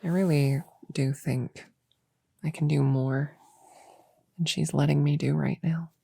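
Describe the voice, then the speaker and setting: A woman playing an eager, slightly grumpy young novice witch in a soft-spoken ASMR roleplay, chatting casually and hesitantly to a friend in a breathy voice that often drops to a whisper. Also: deep voice, steady tone, a little slow, no echo, heavily acted. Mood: grumpy, wistful. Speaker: audio roleplay performer. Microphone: close microphone in a dry space.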